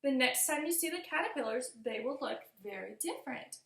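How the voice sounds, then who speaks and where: A reassuring woman schoolteacher from a storybook, voiced by a woman reader explains things gently and deliberately. The voice is fairly high with a creaky edge, recorded in an echoey room. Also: expressive. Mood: calm explaining. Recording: roomy echo.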